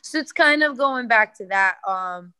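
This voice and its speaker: Young woman fighter chatting in an interview, bright voice, earnest, says um a lot. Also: a little high, lively intonation, unhurried, clean tone. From United States. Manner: earnest, many fillers and restarts. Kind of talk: interview answers.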